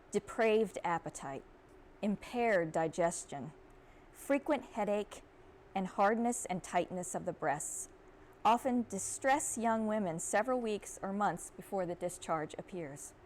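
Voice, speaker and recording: A woman's voice: mid-range voice, a little mellow. Formal woman reading aloud, noisy recording. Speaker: university archivist, reader. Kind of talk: dramatic reading of an old medical treatise.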